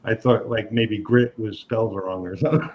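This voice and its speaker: A host from New York talks in a lowish voice with plenty of hesitation and restarts. The sound is dull and lo-fi, with a faint noise behind it. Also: male voice, fairly even intonation, moderate pace. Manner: hesitant, many ums and restarts. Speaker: podcast host. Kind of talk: casual interview chat.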